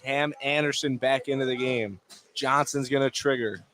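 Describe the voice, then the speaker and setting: Male play-by-play announcer, medium pitch, clipped running delivery, clear gym noise and ball bounces behind. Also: fairly even intonation, moderate pace. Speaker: play-by-play basketball commentator. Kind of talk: live sports radio commentary. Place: indoor basketball gym. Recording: noisy gym ambience behind. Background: basketball bounces, gym noise.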